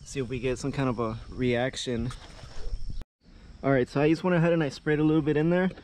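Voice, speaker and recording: A man's voice: medium pitch, fairly even intonation, brisk, darkish tone, slight background noise. A man narrating a car repair step by step as he works outdoors in a yard, filmed on a head-mounted camera. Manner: explains each step as he works. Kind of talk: casual hands-on narration. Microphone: head-mounted action camera.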